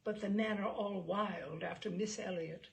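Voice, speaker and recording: A woman's voice: medium pitch, fairly even intonation, normal speed, creaky, close to an everyday voice. A woman voicing gossipy, eager society ladies in a period novel, with a chatty, emphatic, lilting delivery. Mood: gossipy, admiring.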